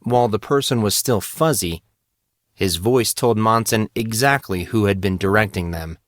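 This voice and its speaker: A man's voice: fairly low pitch, moderate pace, no room sound, studio quality. A man reading scripted fantasy fiction aloud as an audiobook narrator.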